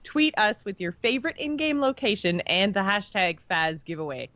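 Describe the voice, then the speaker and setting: A woman's voice: medium pitch, big pitch swings, moderate pace, bright, forceful tone, no room sound, lo-fi sound. A woman co-hosting a podcast, chatting casually and with enthusiasm in an informal way. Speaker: podcast co-host. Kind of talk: casual podcast chat about gaming.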